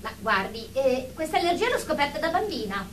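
A woman's voice: high voice, big pitch swings, fairly quick, recorded from a distance, slight background noise. A woman playing a fussy, defensive, germ-phobic therapy patient in a comic radio drama. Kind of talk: radio comedy-drama acting.

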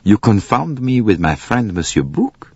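A man's voice: on the low side, big pitch swings, unhurried, warm tone, husky, no room sound, highs cut off, voice pushed up, full character voice. Fussy older detective, precise and polite, voiced by a man.

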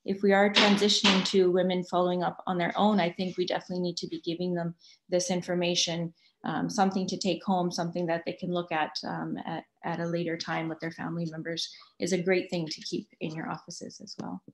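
A woman physician wrapping up her remarks on a webinar video call in a measured way. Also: fairly low pitch, little pitch movement. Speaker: physician panelist. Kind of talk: webinar talk.

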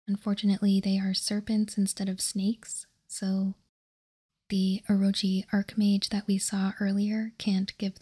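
Female ASMR host, very breathy whisper, mellow and even, calmly walking through trading cards. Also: medium pitch. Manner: soft, slow, measured, calming. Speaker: host of a card ASMR channel.

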